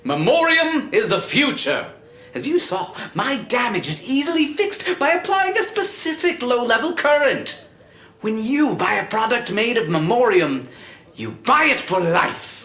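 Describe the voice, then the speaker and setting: A man's voice: very high voice, very animated, unhurried, bright, forceful tone, exaggerated voice. An actor playing a pompous scientist showman in an audio drama, presenting an invention with theatrical, salesmanlike grandeur. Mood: proud, grandiose. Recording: roomy, echoing hall sound.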